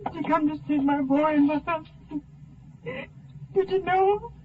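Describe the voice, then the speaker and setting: A woman's voice: high-pitched, measured pace. An actress playing a dazed, grief-stricken mother in a 1950s radio melodrama, plaintive and tearful. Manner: distraught, plaintive. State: tearful, crying. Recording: narrow tinny 1950 radio recording.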